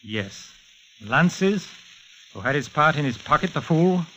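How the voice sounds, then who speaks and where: An actor playing a smooth, condescending murderous villain in a 1940s radio thriller. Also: male voice, a little high, lively intonation, measured pace, sharp tone. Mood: mocking. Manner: suave, mocking, condescending. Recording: muffled, hissy 1940s radio recording.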